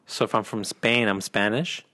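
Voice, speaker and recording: An American man co-hosting a podcast, chatting informally with quick interjections and a self-deprecating manner. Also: on the low side, pushed and bright. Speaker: podcast co-host. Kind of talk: casual chat.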